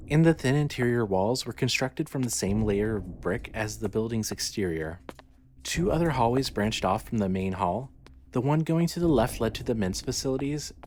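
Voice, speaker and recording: Male narrator, horror audio fiction: scripted storytelling, lower register, slightly noisy track. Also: a little mellow.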